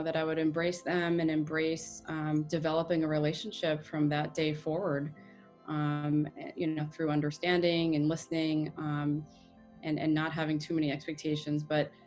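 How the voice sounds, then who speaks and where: An American woman speaking in a soft, halting way with many fillers, reflecting in an interview. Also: fairly low pitch. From United States.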